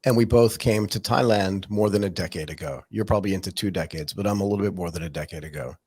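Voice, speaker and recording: A man hosting an interview podcast, an animated storyteller who acts out quoted dialogue in a casual conversational way. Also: low-pitched, fast talker, slightly rough. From California. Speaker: podcast host.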